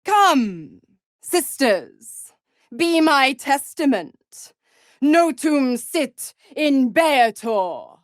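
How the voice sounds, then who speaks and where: A cruel, mockingly seductive devil patroness from a video game. She takes her time over each taunt, letting the pitch curl and swoop with theatrical condescension. The sound is close and dry, with no room around it. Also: very animated, very slow, no echo, full character voice. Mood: commanding, incanting. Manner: taunting, drawling, condescending, purring endearments like "pet".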